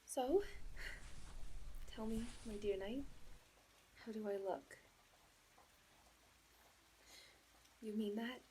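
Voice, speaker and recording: Woman acting as young princess bride, slightly high voice, slow formal delivery, hesitant, lovelorn, romantic roleplay audio. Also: warm tone, a little hiss or hum, rough recording. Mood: teasing, soft. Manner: formal regal phrasing, hesitant, breathy pleading.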